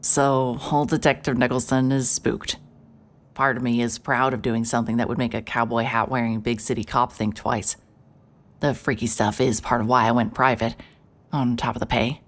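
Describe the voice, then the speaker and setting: An actress playing a sardonic, hard-hearted private investigator who talks in dry, wisecracking sarcasm. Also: female voice, extremely low pitch, level tone, normal speed. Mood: wry narration. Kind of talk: audio drama acting and first-person narration.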